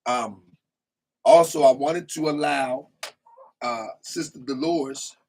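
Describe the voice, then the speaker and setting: A man hosting a casual live call-in show, hoarse and losing his voice, rambling with stammering restarts. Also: medium pitch, takes it slowly, a little mellow, slight background noise, rough recording. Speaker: host.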